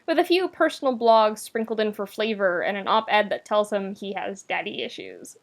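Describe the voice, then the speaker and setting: A woman reading fiction aloud as a narrator, with a wry, conversational and emphatic delivery. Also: mid-range voice. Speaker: podfic reader, narrator. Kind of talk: scripted narration of fan fiction, expressive audiobook reading.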